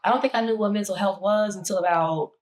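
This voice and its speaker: Hoarse woman hosting a roundtable chat. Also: mid-range voice, quick pace. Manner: reflective, searching for words. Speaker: podcast host.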